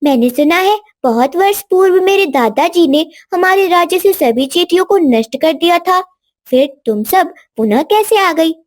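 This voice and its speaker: Woman as a very high-pitched stubborn little princess. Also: lively intonation, moderate pace. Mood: curious. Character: stubborn, loving young princess, a little girl. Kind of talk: Hindi cartoon moral story dialogue.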